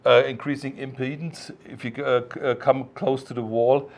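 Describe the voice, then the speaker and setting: A man explaining technical matters informally as a product designer, in a didactic, emphatic way with halting phrasing. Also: medium pitch, flat delivery, creaky.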